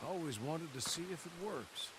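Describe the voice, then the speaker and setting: An actor playing a composed, inventive gentleman in a western game, speaking in a dry, understated, clipped way. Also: a little high, animated, normal speed, lightly acted. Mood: dry, confident. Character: composed, inventive gentleman ally in the Old West. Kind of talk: dramatic game cutscene acting. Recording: clear rain noise behind. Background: rain.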